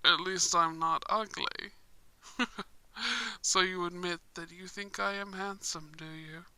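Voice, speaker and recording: Vampire prince played by a woman, suave, smug and courtly, very slow formal delivery, strikingly bright tone, roleplay audio. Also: fairly low pitch, fairly even intonation, clean tone, no echo, voice pushed down, full character voice. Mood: teasing, smug. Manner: formal, measured, suave phrasing. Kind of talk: scripted roleplay ASMR.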